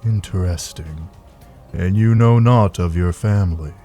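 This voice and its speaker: A man acting a heartless villain with a very low, slow voice. He speaks formally and with cold detachment, choosing archaic words as in a dramatic game cutscene, with music underneath. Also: dark tone. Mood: probing, detached. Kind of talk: scripted animated game parody dialogue.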